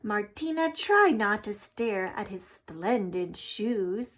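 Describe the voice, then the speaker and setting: A woman reading a picture book aloud as an expressive storyteller. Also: mid-range voice, slow.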